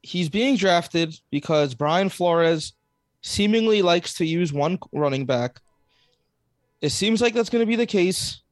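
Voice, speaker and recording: A man's voice: high voice, lively intonation, a little slow, no room sound. Teasing male podcast co-host. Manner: storytelling, teasing. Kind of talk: casual fantasy sports chat.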